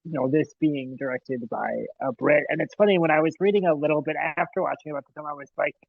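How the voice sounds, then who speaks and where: A man's voice: a little high, fast talker, gravelly, narrow, tinny audio. A man in his thirties co-hosting a movie podcast, rambling and hedging his thoughts in casual chat. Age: 30s. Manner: rambling, hedging, laughs often. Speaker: podcast co-host.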